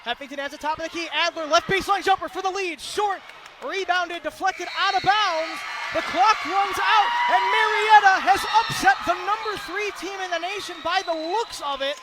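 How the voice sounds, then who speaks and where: Very high male voice, radio basketball announcer, energetic running commentary, clearly noisy gym behind. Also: moderate pace. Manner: energetic, clipped running description of the action. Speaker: play-by-play announcer. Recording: live gym broadcast, clear background noise. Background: gym ambience with bouncing basketballs.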